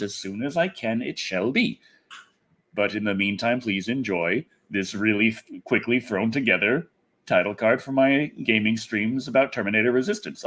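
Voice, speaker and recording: A man hosting a casual livestream, chatting off the cuff in a rambling way and often repeating his phrases. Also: mid-range voice. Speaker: internet personality, livestream host. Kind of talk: casual livestream chat, answering viewers.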